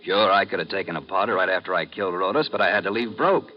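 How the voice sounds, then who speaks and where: An actor playing a smug, calculating smuggling boss and killer in a 1950s radio drama, speaking smoothly and gloatingly. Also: male voice, low-pitched, fast, lightly acted. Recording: dull, noisy vintage radio broadcast.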